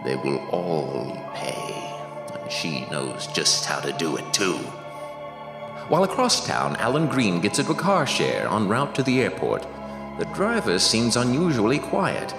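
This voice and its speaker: A man giving slow, menacing, theatrical scripted narration for a horror show. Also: low-pitched. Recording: roomy reverb with music.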